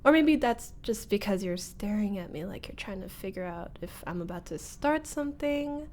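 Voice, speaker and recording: A woman's voice: medium pitch, expressive, moderate pace, darkish tone, lightly acted. A woman voicing a flustered young former bully in a scripted roleplay audio, hesitant and wry. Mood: awkward, teasing. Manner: hesitant, sighing, nervous laughs, wry muttering.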